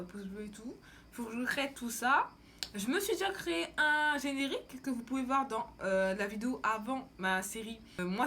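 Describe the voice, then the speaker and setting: A woman's voice: on the high side, a bit bright, slight background noise. A young woman from France chatting casually as a vlogger, with an informal, slangy, rambling way of talking. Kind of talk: casual chat to camera.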